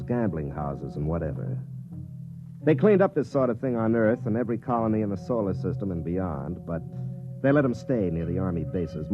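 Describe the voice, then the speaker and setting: A jaded sarcastic army captain, old 50s radio drama, swingy pitch, dull lofi audio. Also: male voice. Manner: sardonic, mocking. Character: cynical, hard-drinking veteran army captain. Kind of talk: 1950s radio drama acting and narration. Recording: muffled vintage 1950s radio, faint music.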